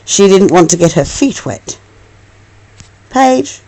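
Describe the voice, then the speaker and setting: A woman reading a storybook aloud as a mother to her young child, expressive and affectionate, with coaxing asides. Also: medium pitch, slow, rough recording.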